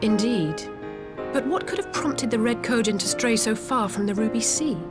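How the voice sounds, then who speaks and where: A woman's voice: on the high side, moderate pace, natural voice. Guarded, pointed warrior woman voiced by an actress. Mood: wary, composed. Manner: pointed, formal. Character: guarded, sharp-tongued female warrior companion. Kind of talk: video game cutscene acting. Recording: loud music behind the voice.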